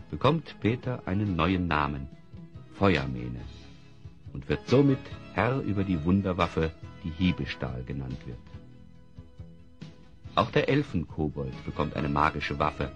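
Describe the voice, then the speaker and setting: A man narrating a fantasy audio drama in a measured, dramatic, scripted storytelling style. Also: low-pitched. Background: music.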